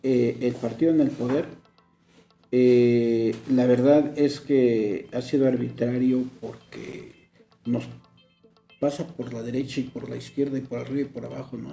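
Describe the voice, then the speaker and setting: Mexican male politician, gravelly and very dark, echoey recording. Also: medium pitch, fairly even intonation, measured pace, faint music behind. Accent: Mexican Spanish. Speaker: local legislator as guest. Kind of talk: political conversation. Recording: roomy echo.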